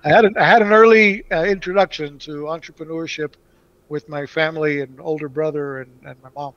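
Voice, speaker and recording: Guy from puerto rico chatting in an interview, lots of uhs, kinda high voice, dry close sound. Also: steady tone, fairly quick, a bit bright, no echo, highs cut off. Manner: rambling, many restarts and fillers. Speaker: guest. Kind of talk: casual interview answers.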